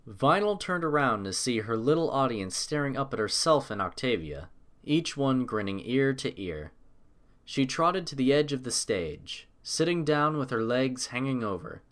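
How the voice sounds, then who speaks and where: Male narrator for scripted fiction. Mid-pitched, animated melodic range, storytelling delivery. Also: normal speed.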